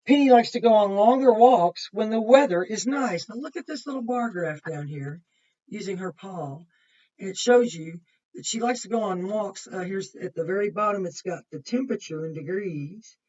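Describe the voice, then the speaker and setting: A woman's voice: medium pitch, moderate pace, mellow. A woman kindergarten teacher reading a picture book aloud to young children, with simple explanations and chatty asides. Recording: muffled, distant microphone.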